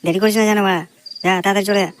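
A man's voice: high-pitched, steady tone, very fast. A man with a West Bengal Bengali accent voicing a bossy, idle, foul-mouthed buddy in a comedy cartoon. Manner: coarse, brash, swears constantly. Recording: faint background noise.

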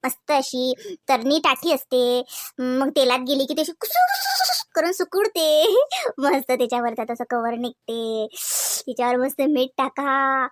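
A woman plays a dreamy, chatty young housewife from a comedy cartoon. Her voice sits very high and girlish, with a Varhadi Marathi accent from Vidarbha. She is giggly and theatrical, in a close, dry sound. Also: fairly bright tone, no echo. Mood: relishing.

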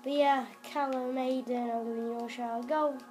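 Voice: sits high, little pitch movement, normal speed, darkish tone. A young-sounding gamer improvising a role-play commentary on a video game, rambling and exclaiming. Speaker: gaming video maker. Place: indoors at home, in front of a television. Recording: camera filming a TV, game music.

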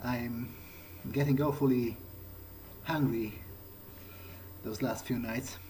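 Slow-talking man roleplaying through a game. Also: mid-range voice, steady tone, darkish tone, slight background noise. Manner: hesitant, casual, muttering thoughts aloud. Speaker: gameplay video creator. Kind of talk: in-character roleplay playthrough commentary.

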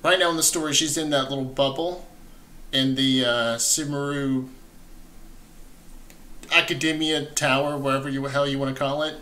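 A man's voice: medium pitch, fairly even intonation, moderate pace, pauses often, sharp tone. A young man hosting a reaction video, commenting casually and enthusiastically, often rephrasing himself as he goes. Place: small indoor room at home.

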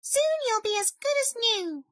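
A woman's voice: very high-pitched, animated, normal speed, a bit bright, no room sound, studio quality, voice pushed up. A woman voicing a small, eager male mouse in a children's storybook, chirpy and relentlessly cheerful. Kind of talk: children's storybook read-aloud.

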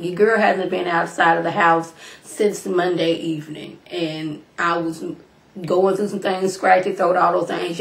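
A young woman, slightly hoarse and congested from being sick, chatting casually to her webcam as she recaps a reality show, calling her viewers y'all. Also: fairly low pitch, echoey room. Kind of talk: casual recap talk to camera. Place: small room at home, indoors. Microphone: webcam at desk distance.

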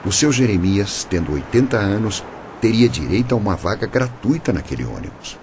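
An actor playing a calm, mysterious guide in a Brazilian radio drama, measured, formal and didactic. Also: male voice, fairly low pitch. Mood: explaining. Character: calm, mysterious male guide who gives solemn warnings. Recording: noisy background ambience.

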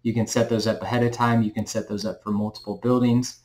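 A man's voice: fairly low pitch, level tone, fast talker, darkish tone. A middle-aged man presenting a product as a company representative, in a plain-spoken, conversational way. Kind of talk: informal product explainer to camera. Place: small indoor room or office.

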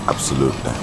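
A man in his thirties chatting casually in a shop, halting with many fillers, and slightly hoarse from a cough. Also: low-pitched. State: has a cough. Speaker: vlogger. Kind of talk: casual chat. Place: indoors in a large shop.

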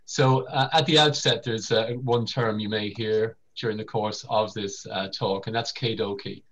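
A man's voice: lowish voice, fairly even intonation, moderate pace, fairly bright tone. A middle-aged Irish man with an Irish accent giving a semi-formal medical lecture over a video call. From Ireland. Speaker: vascular surgeon giving a lecture. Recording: dull lo-fi video call audio.

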